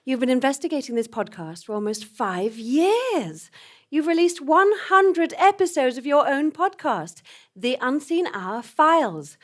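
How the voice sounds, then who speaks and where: A woman's voice: sits high, lively intonation, a little slow. A woman playing an exasperated, urgent hacker informant in a comic audio drama, weary and portentous.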